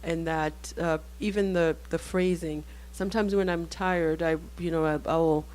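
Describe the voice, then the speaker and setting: A woman author whose first language is Haitian Creole, speaking thoughtfully in a panel discussion, pausing and restarting often. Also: low-pitched, fairly even intonation, a little mellow, rough recording. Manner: thoughtful, halting, many restarts.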